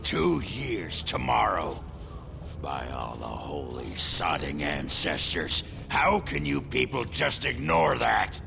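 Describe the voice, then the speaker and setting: Gravelly, belligerent drunken dwarf warrior. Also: male voice, low-pitched, very animated, slow, over music, full character voice. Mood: bitter outrage. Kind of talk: scripted video game dialogue.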